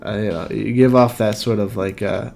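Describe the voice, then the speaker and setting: A man's voice: on the low side, fairly even intonation, normal speed, vocal fry, darkish tone. A man hosting a podcast, rambling and self-deprecating in casual chat. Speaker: podcast host.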